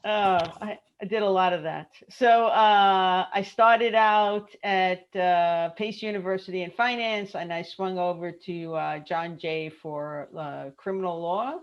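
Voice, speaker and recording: A middle-aged woman with a Brooklyn accent, chatting lively as an interview guest on a video call and laughing often. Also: lowish voice, a little slow, hardly any pauses, lo-fi sound. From Brooklyn, New York. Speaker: guest, travel industry consultant. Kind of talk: casual interview chat.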